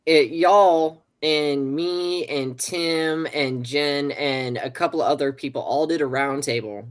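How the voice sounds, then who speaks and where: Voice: mid-range voice, slow, smooth. A host chatting casually and informally with guests. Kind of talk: casual chat.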